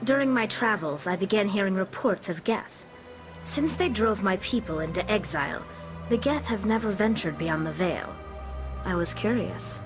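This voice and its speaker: A woman's voice: mid-range voice, lightly acted. A young actress playing an earnest alien engineer in a video game, patiently explaining her people's ways. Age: young adult.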